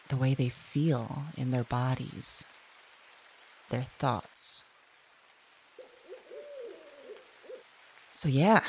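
A woman playing an uneasy, self-conscious dreamer in an audio drama, speaking haltingly into a voice memo and trailing off. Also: sits low, a little mellow, a little hiss or hum, poor audio. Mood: hesitant, drowsy.

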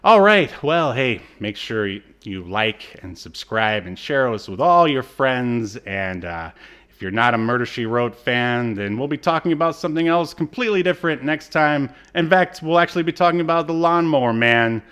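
A man hosting a casual pop-culture review chat, speaking in a halting, self-deprecating way. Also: medium pitch, expressive, a bit bright, smooth. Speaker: podcast host.

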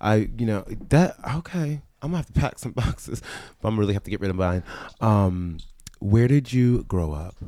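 A man co-hosts a relaxed interview and asks questions with playful, chatty asides. His voice sits somewhat low. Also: normal speed. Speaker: co-host interviewer. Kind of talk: casual interview chat.

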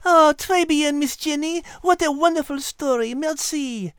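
A woman voicing a gentle, clever female cat with a French accent and a polite, encouraging manner. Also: sits high, measured pace, dry recording, studio quality. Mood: bright, gracious. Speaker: podcast co-host character. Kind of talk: scripted character banter.

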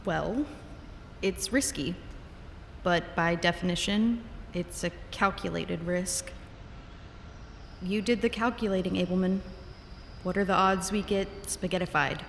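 A woman's voice: on the low side, a little slow, gravelly, pauses often, a little hiss or hum. A woman playing a practical, questioning safety officer in an audio drama, reasonable and wry. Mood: pragmatic. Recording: long reverb, faint music. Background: faint music.

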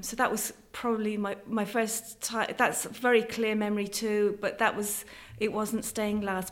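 A middle-aged woman from London with an English-sounding accent, chatting casually as an interview guest. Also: medium pitch. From London, then Ireland from age thirteen. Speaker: guest, stained glass artist. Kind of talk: casual conversational interview answers.

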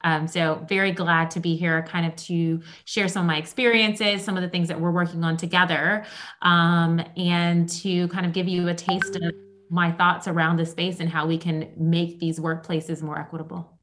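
Chatty low-voiced woman guest. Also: flat delivery, normal speed, fairly bright tone. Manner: chatty, upbeat, many fillers. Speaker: guest speaker. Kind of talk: live event fireside chat.

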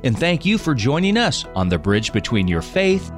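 A man's voice: mid-range voice, very animated, moderate pace. A man reading a scripted promotional announcement as a radio station announcer, with music behind him.

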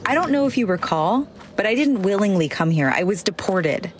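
A woman's voice: medium pitch, animated, brisk, a little hiss or hum, close to an everyday voice. A woman playing an exasperated, scornful nun in a comedy audio drama, curt and sarcastic in her delivery. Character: exasperated, sharp-tongued pregnant young nun, scornful.

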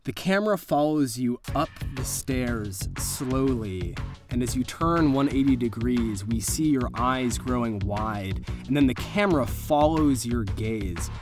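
A man runs a tabletop horror game as its game master. His voice is a little higher than average, and he lays out scenes deliberately, like a film, with music clearly playing behind him. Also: animated.

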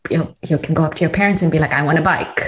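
A woman from Tanzania telling her personal story as a guest in a conversation, earnest and animated, with many restarts and "you know". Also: low-pitched, racing.

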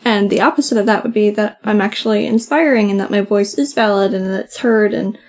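A soft-voiced woman co-hosting a podcast, talking casually and often saying "like". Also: mid-range voice, brisk. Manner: chatty, many "like" fillers. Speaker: podcast co-host. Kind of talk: casual conversation.

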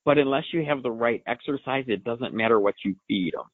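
A man's voice: mid-range voice, a little fast, no echo. A man, a horse science expert, answering listener questions in an explanatory way and sometimes correcting himself mid-sentence. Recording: dry tinny phone line.

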